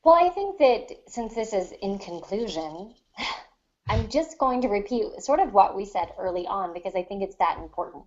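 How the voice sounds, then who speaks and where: A woman's voice: medium pitch, expressive, normal speed. A woman co-hosting a podcast in casual discussion, giving writing advice in an emphatic, explanatory way. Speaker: podcast co-host, fiction writer. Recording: dull lo-fi online podcast audio.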